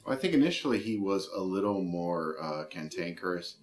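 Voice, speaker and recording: A man's voice: low-pitched, big pitch swings, normal speed. A man speaking in a behind-the-scenes film interview about his work. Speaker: film animation crew interviewee.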